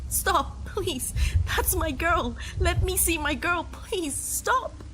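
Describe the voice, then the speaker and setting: A woman's voice: very high-pitched, lively intonation, slow pace, a little hiss or hum, pitched above the performer's own voice, exaggerated voice. A woman voicing a young man who screams and begs in grief for his girlfriend. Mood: anguished. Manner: shouting, pleading, breaking.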